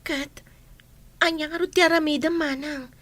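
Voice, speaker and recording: An actress with an Ilocano accent playing a scheming, concerned younger sister in a radio drama, confiding and urging. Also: female voice, high voice, steady tone, moderate pace, a bit bright, no room sound.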